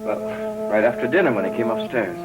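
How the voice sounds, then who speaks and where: An actor playing a placating, evasive family cousin in a gothic soap opera, with a coaxing delivery. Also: male voice, fairly high pitch, sing-song, a little fast, low-quality recording. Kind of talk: 1960s-70s gothic soap opera acting. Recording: old TV soundtrack, loud music behind.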